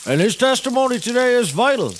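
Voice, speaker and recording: A man's voice: extremely high pitch, very animated, fast talker, a little hiss or hum. A man playing a pompous, folksy, bumbling defence lawyer with theatrical, rambling courtroom patter in a scripted comedy.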